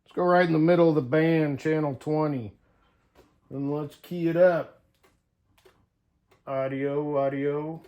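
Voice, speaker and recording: A man's voice: on the high side, steady tone, measured pace, long pauses, dull audio. A man talking casually to viewers in a folksy, unscripted way, thinking aloud as he tinkers through a hands-on demonstration. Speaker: hobbyist CB radio vlogger.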